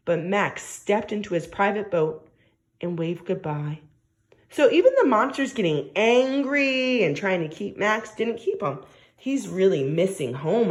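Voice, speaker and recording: Expressive woman teacher reading slowly to children. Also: mid-range voice. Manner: expressive, questioning, coaxing. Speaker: primary school teacher. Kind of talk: read-aloud lesson for young children.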